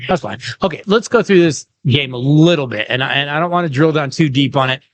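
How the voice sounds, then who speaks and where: A man hosting a casual sports podcast, chatty, with quick asides and leading questions. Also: fairly high pitch, no echo. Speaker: podcast host. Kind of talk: casual sports chat and interviews.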